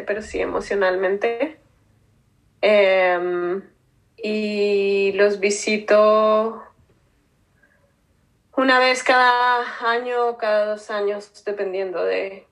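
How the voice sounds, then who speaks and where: A woman from Bogotá in her thirties with a Colombian accent, answering interview questions thoughtfully with frequent pauses and fillers. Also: medium pitch, poor audio. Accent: Colombian Spanish, Bogotá. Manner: thoughtful, hesitant with many fillers. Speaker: guest.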